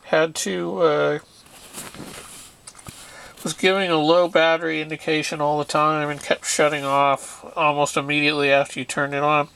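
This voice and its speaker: A man's voice: fairly high pitch, flat delivery, moderate pace, vocal fry, fairly bright tone, clean tone. A man talking casually as he demonstrates and explains repair work on equipment. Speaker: radio repair hobbyist presenter.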